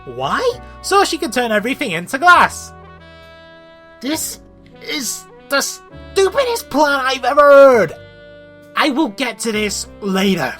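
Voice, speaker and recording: Guy doing a scripted cartoon review, really lively pitch, bright voice, sarcastic and fed up. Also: slow, soft background music. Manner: exasperated, sarcastic. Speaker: video reviewer and host.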